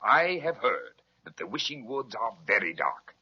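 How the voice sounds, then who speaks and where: An actor playing a fussy, flustered royal official who dithers, stammers and repeats himself politely, in old-time children's radio style. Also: male voice, on the low side, big pitch swings, moderate pace, slightly rough. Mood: fussy, officious. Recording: dull old radio recording.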